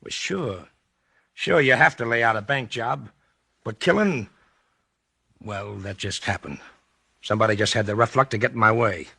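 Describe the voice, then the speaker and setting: An actor in a 1940s radio drama playing a brash, uneducated escaped convict and remorseless killer who talks in rough, cocky slang. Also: male voice, on the low side, normal speed, pauses often, bright, forceful tone, dry recording, studio quality, full character voice. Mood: cocky, callous.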